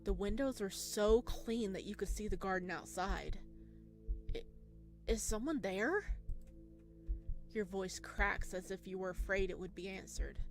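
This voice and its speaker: A woman does a game playthrough, reading narration aloud in a mid-pitched voice. She slips between storytelling and casual, giggly commentary of her own. Music plays behind her. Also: unhurried, long pauses. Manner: giggly, informal asides between read narration. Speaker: gamer playing and reading a visual novel aloud.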